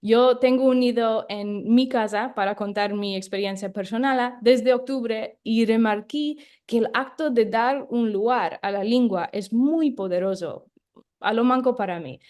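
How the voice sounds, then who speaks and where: A woman's voice: fairly high pitch, a little mellow. A woman leading a project, explaining it in an online talk with frequent hesitation fillers. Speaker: project leader and co-presenter.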